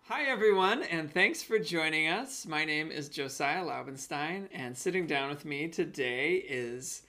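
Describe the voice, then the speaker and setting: A man's voice: a little high, lively intonation, measured pace, bright, forceful tone, faint music behind. A man with an American accent hosting an arts interview podcast in a conversational presenter's style. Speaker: podcast host. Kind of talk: scripted intro and casual interview.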